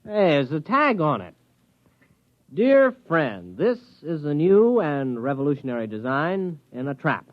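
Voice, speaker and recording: A man's voice: fairly high pitch, sing-song, a little slow, no room sound. An eager American outdoorsman in an old radio play. His folksy, coaxing delivery swings up and down a lot, and the muffled 1950s recording is dry and close. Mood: puzzled, excited. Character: eager, good-natured outdoorsman, friendly but easily swayed. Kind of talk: 1950s radio drama acting.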